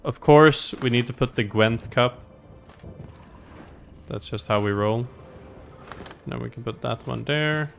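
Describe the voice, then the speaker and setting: A man's voice: medium pitch, takes it slowly, creaky, long pauses, slight background noise. A man doing casual, upbeat gameplay commentary as a streamer, thinking aloud as he goes. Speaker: game streamer. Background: faint game music.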